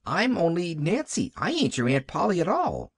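Storybook part: kindly young servant girl, folksy and easily flustered, high, animated voice , performed by a man. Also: normal speed, creaky, slightly rough, no room sound, exaggerated voice. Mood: flustered alarm. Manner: stammering, folksy. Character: flustered, kindly young hired girl, plain country speech.

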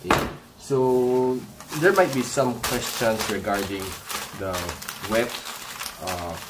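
A man in his thirties with a Filipino accent gives a casual, halting how-to demonstration as a vlogger, recorded on a phone outdoors. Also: medium pitch. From Philippines. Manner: halting, explanatory. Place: outdoor paved yard or garage at home. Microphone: handheld phone camera. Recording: clear ambient noise on phone video.

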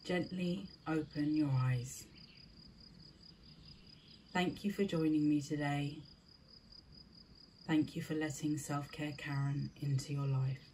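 A woman leading a scripted guided meditation in a soothing, deliberate manner, with short spaced phrases. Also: sits low, fairly even intonation, dark tone, slight background noise.

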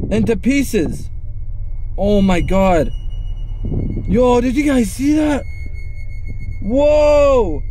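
A young man reacting loudly and with excitement while flying a drone from inside a parked car, filmed by a dashboard camera. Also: high voice, slight background noise, poor audio. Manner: exclamatory, hyped-up reactions. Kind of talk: excited drone vlog commentary.

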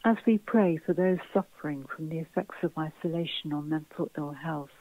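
A woman preaching a sermon in a measured, devotional manner. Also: low voice, no echo. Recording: phone-like, muffled narrow-band sound.

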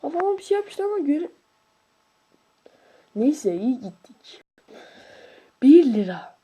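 A woman commenting playfully on a video game, swinging from whispered asides to excited squeals and grumbling at it. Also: high-pitched, slow pace. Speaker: gaming YouTuber. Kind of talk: casual let's-play commentary.

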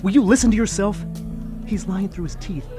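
A man's voice: high-pitched, a little fast, over music, close to an everyday voice. A man playing a blunt, pleading, protective friend in a noir radio drama. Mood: exasperated, scolding. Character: exasperated, protective male friend of a singer.

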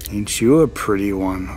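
A man's voice: on the low side, a little mellow, slight background noise. An actor playing a sneering, foul-mouthed deadbeat father under questioning in an audio drama. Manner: foul-mouthed, drawn-out, goading.

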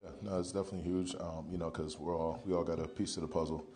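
A young man with a very deep, dark voice speaks in an even, flat tone from a podium mic in a press room. He answers post-game questions haltingly, leaning on ums and you-knows. A faint hiss sits under the audio. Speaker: football player. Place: press conference room, indoors. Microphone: podium mic in front.

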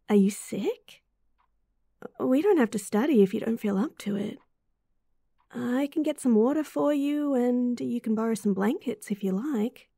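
A woman with an Australian accent playing a caring, teasing young student best friend in a roleplay audio, soft and breathy. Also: on the high side, dry recording, clean studio sound, exaggerated voice. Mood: concerned. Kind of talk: roleplay audio acting, intimate and softly spoken.

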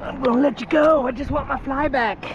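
A man's voice: very high-pitched, steady tone, a little fast. A man filming himself on a kayak outdoors, chatting casually to himself and to the fish in short asides as he fishes. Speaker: kayak fishing vlogger. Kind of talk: casual narration while fishing. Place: on a kayak outdoors on shallow water. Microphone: action camera. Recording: dull action-camera audio with wind and water noise.

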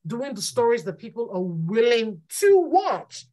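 A woman's voice: on the high side, unhurried. A Nigerian woman in her forties with a Nigerian accent, speaking expressively as a panelist on a laptop video call. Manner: expressive, gesturing, lively quoting. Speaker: roundtable panelist. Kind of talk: animated discussion. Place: small dim room indoors. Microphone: laptop webcam video call.

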